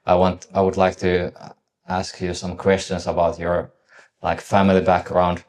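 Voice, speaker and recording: A man's voice: low voice, monotone, moderate pace, darkish tone. A man hosting a podcast interview, asking long, rambling questions full of "like" and restarts. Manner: rambling, heavy use of "like" and restarts.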